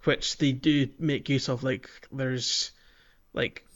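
Man, mid-pitched voice, casual podcast co-host, slightly muffled lo-fi sound. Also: fairly even intonation, slow, fairly bright tone. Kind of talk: casual gaming podcast chat.

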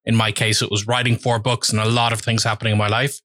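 A man giving a candid, reflective personal update to his viewers, pausing often with fillers. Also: fairly low pitch, flat delivery, a bit bright, no echo, studio quality. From Ireland. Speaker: language-learning vlogger. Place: home.

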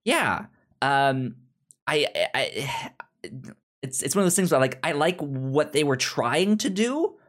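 A podcast host reviewing a book in casual chat, speaking haltingly and often stammering over repeated syllables. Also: mid-range voice, very animated.

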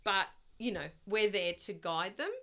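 A middle-aged woman with a loud voice that projects, chatting as a guest in a casual interview, rambling and laughing often. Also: mid-range voice, unhurried. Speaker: guest, parent of the host.

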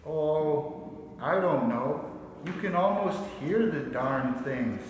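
A man plays a folksy amateur inventor from a 1940s radio drama. His voice is fairly high and he takes his time, musing with halting wonder. The sound is distant, in a reverberant hall with noise behind it. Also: expressive. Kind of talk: 1940s radio play acting. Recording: echoey hall, distant mic, noisy.

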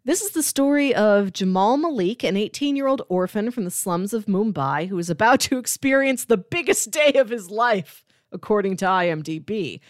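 A woman co-host talks in an informal, conversational style with lively, swinging intonation. Her pitch sits in a middle range for a woman and moves around a lot. The sound is dry, with hardly any room on it. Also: normal speed, hardly any pauses, no echo. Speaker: podcast co-host. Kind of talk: casual chat, pitching movies.

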